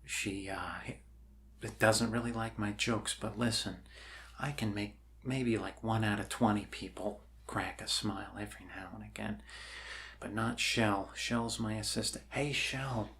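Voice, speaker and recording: Male ASMR roleplay role, acted by a man: bumbling, apologetic doctor, unhurried and halting, reassuring, faint noise behind. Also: lowish voice, fairly even intonation, fairly bright tone. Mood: sheepish.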